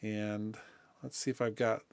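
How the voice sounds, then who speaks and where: A man presenting a music production tutorial in a casual, informal way, thinking aloud as he demonstrates software. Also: fairly low pitch, level tone, a little slow, clean tone, dry recording, studio quality.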